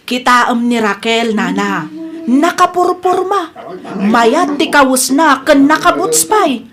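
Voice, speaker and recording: A woman's voice: sits high, animated, slow pace, crowd noise. A woman playing a nosy, fault-finding younger village gossip in a radio comedy drama, exclamatory and needling, with a Filipino accent. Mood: gossipy, scornful.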